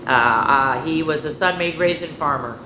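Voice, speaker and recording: An older woman hosts a children's storytime, reading aloud and chatting in an expressive, folksy way straight to young listeners. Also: deep voice, normal speed. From rural United States, farm. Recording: echoey room with background noise.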